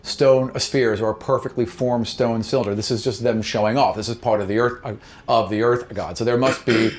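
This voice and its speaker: A man's voice: mid-range voice, fairly even intonation, fairly quick. A man narrating an improvised tabletop game as game master in a casual, conversational way.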